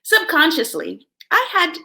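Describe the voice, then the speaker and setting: Woman, middle-aged, lively swooping intonation, gravelly fry, emphatic, motivational talk read aloud with commentary. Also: very high voice. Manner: emphatic, dramatic pauses, chuckles between phrases. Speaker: self-help show host. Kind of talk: reading aloud and commenting on a motivational book chapter.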